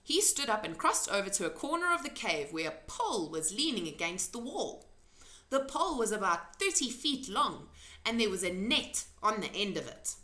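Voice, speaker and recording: A woman's voice: medium pitch, big pitch swings, moderate pace, sharp tone, roomy sound. A woman narrating a children's story expressively, as an amateur reader.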